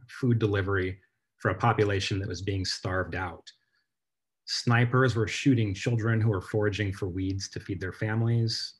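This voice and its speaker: Man, guest author on a video call, low voice, measured and earnest storytelling.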